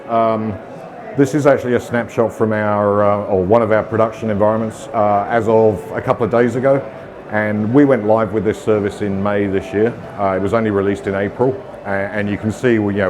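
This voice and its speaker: Middle-aged British man, low voice, casual conference presenter with filler-heavy delivery, audience murmur audible. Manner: casual, self-deprecating, frequent ums and uhs. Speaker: conference speaker. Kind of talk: technical conference talk.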